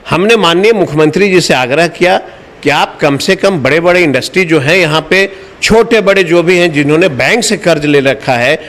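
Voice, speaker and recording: A man's voice: a little high, a bit bright. A man giving a spoken appeal as an interviewee in a news soundbite. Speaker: hotel owners' representative being interviewed.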